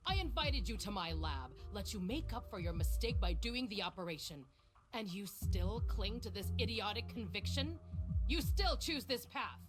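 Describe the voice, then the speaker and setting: A woman's voice: mid-range voice, animated, normal speed, lightly acted. A woman voicing a cold, arrogant villainous scientist in a video game, with a condescending, menacing, theatrical delivery. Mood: bitter, scornful. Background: game music.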